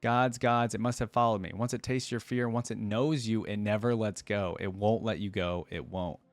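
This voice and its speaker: A terrified village survivor , read aloud by a man, tells a horror story in a fairly low voice. The delivery is halting and dramatic, in short phrases, with a very dry sound. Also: fairly even intonation, dry recording, lightly acted. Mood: frantic, despairing. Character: terrified haunted village militiaman, lone survivor.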